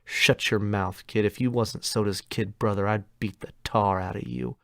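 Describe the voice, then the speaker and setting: A man's voice: fairly low pitch, steady tone, moderate pace, creaky, no echo, studio quality. A man voicing a tough but good-natured teenage greaser boy who talks in blunt, slangy, drawled lines. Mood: stern.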